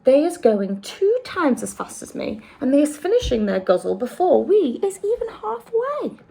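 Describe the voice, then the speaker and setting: A woman doing a gentle giant's muddled talk. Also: sits high, sing-song, normal speed, vocal fry, pitched above the performer's own voice, heavily acted, poor audio. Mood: earnest. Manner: ungrammatical, invented words. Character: big gentle male giant, kindly, mangled grammar.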